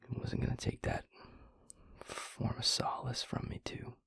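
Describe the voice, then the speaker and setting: A man playing a weary, grieving vampire lover, tender and gruff, in an intimate audio roleplay with a husky, gravelly murmur. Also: a bit bright, no room sound, whispered, studio quality, natural voice. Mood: weary, brooding. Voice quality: husky, breathy, gravelly low voice. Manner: slow, halting, murmured, with sighs.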